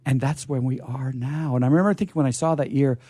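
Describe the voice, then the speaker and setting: A man's voice: mid-range voice, quick pace, dark tone, no echo. A middle-aged or older man hosting a radio show, chatting casually and enthusiastically in a rambling, self-deprecating way. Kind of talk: casual unscripted event chat.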